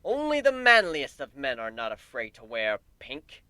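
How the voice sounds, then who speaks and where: Cocky griffin captain male reader acting, sarcastic, flippant, animated, forceful tone, fantasy storybook. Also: medium pitch, moderate pace, clean tone, no echo. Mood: dry, defiant. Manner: brash, flippant swagger.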